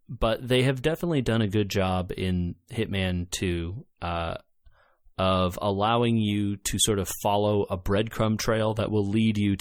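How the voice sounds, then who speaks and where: A man's voice: fairly low pitch, no echo, clean studio sound. A man co-hosting a podcast, explaining things in an informal, enthusiastic way in casual chat about video games. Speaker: podcast co-host reviewing games.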